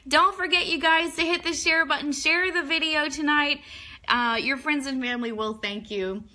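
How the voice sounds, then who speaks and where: A woman's voice: high-pitched, normal speed, sharp tone. A woman hosting an online radio show, introducing and interviewing a guest in an announcing style. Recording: live internet stream.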